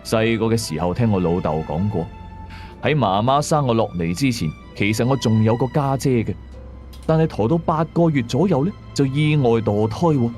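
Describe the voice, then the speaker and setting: Guy with cantonese accent telling a ghost story radio style, really animated intonation, music low behind him. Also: normal speed. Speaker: storyteller, narrator. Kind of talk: scripted first-person horror narration. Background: faint music.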